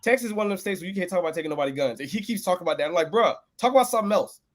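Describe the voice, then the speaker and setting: Fast-talking animated man, casual slang. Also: high-pitched. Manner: animated, slangy, drops into mock dialogue. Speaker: political candidate. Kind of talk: casual political chat.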